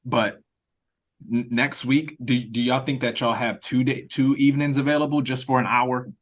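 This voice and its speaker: A man's voice: medium pitch, little pitch movement, normal speed. Informal man thinking aloud on a call. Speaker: writer-director leading the meeting. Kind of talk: casual meeting chat. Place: online video meeting. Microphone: video call.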